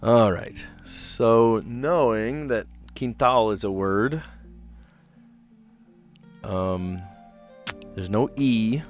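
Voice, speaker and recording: A man's voice: fairly low pitch, extremely slow, long pauses, soft background music. Man thinking aloud over a puzzle livestream. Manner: thinks aloud, easily amazed, chuckling. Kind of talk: casual livestream commentary. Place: home room, indoors. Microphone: close podcast mic on a desk.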